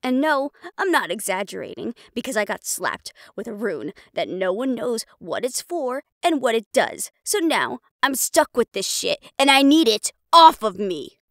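Woman acting a blunt, animated tough young woman. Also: on the high side, moderate pace, few pauses, dry recording. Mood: frustrated. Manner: blunt, sardonic. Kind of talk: audio roleplay acting.

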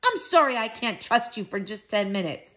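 A woman voicing an emphatic, emotional mother in a children's audiobook, doting but quick to scold. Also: on the high side, big pitch swings, pushed and bright, rough recording.

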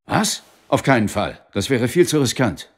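An actor playing an affable London police inspector and ghost hunter in a naturalistic radio play. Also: male voice, mid-range voice, big pitch swings, moderate pace, husky. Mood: evasive, affectionate.

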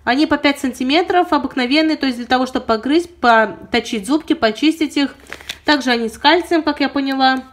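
Fast-chatting woman with a sore throat. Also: high-pitched. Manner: chatty, detailed, apologetic asides. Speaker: pet vlogger. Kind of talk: product haul review. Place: indoors at home.

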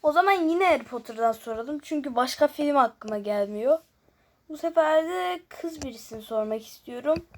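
A woman's voice: high-pitched, lively intonation, moderate pace. A woman host casually chatting through a guessing game, shifting between muttered asides and chattier remarks to viewers. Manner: alternates muttered asides, often near-whispered, with louder chatty remarks to viewers. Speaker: host of a gaming video. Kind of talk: casual chat while playing a guessing game. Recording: noticeable background noise with clicking.

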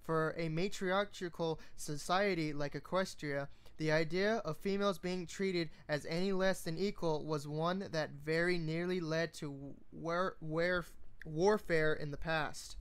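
An amateur reader narrating a story in a halting way, often stumbling and repeating words. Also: medium pitch, steady tone, smooth. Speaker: fan fiction reader.

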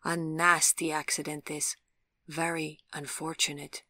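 A woman voicing a polite, concerned gentleman bystander in a storybook, brisk, courteous and well-spoken. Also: low voice, little pitch movement, no room sound, studio quality, full character voice. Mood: matter-of-fact, sympathetic. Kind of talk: audiobook character voice.

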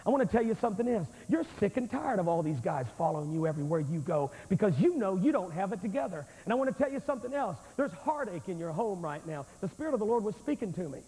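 High-voiced preacher in a reverberant hall. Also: male voice, fast talker, few pauses. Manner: animated, confrontational storytelling, acts out his own lines. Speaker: evangelist preacher. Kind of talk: revival sermon storytelling. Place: large church sanctuary, on stage. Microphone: handheld microphone. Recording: long hall reverb, old VHS tape.

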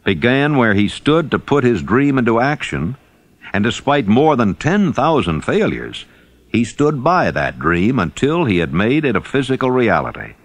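A man reading an audiobook as scripted narration, with deliberate, emphatic phrasing. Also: mid-range voice, sing-song, dry recording.

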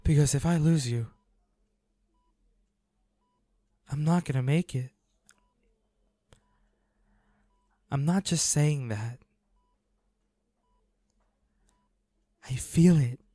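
A man playing a devoted, anguished boyfriend in an intimate audio roleplay, pleading and halting with emotion. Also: on the high side, dark tone, dry recording, studio quality, natural voice. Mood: tender, sorrowful. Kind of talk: intimate dramatic audio roleplay, one-sided dialogue.

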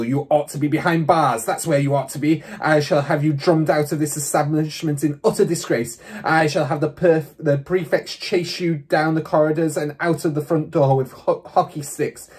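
A reader voicing a furious, tyrannical headmistress who roars insults slowly and emphatically. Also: mid-range voice, darkish tone, breathy edge. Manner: roaring, spitting insults, slow and emphatic. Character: furious tyrannical headmistress, big bullying woman.

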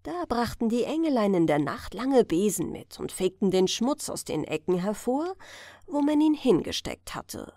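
A woman narrating a scripted fairy tale as a bedtime audiobook, expressive and carefully phrased. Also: a little high, moderate pace, dry recording, studio quality.

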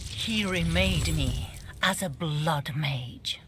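A woman's voice: low-pitched, slow pace, noisy background. A woman playing a haughty, menacing blood-mage assassin in a fighting video game, speaking with contempt. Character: menacing, haughty female blood-mage assassin, fiercely devoted to her master. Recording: loud game ambience behind.

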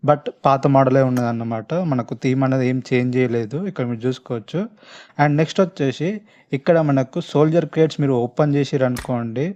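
A man's voice: mid-range voice, fairly even intonation, quick pace, dark tone, lo-fi sound. A man with a Telangana Telugu accent giving casual, chatty gameplay commentary to his viewers, speaking informally and directly. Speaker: gaming video host. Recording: faint background noise, clicking sounds.

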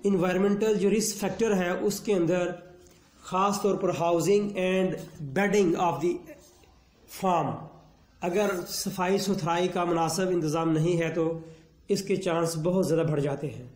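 Man, veterinarian, instructional lecture, slow unhurried delivery, echoey sound. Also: high voice, fairly even intonation, a little mellow. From Pakistan. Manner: slow, measured explanation mixing Urdu and English terms. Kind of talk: instructional lecture on livestock disease.

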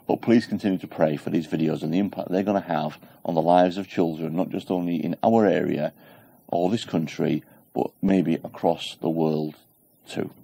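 Deep earnest man, close lapel mic. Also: vocal fry, warm tone, slightly rough, no room sound. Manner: earnest, encouraging, pleading for prayer. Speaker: church holiday club leader. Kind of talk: church video update. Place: small indoor studio set.

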